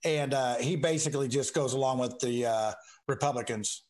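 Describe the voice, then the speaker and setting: A man from the United States chatting informally as a political candidate on a call-in show, folksy, halting and joking. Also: medium pitch, a little mellow. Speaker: state house candidate and talk show guest.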